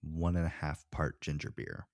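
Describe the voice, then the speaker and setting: A man's voice: a bass voice, flat delivery, moderate pace, no echo, studio quality. A man co-hosting a podcast in casual, slangy, informal chat. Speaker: podcast co-host.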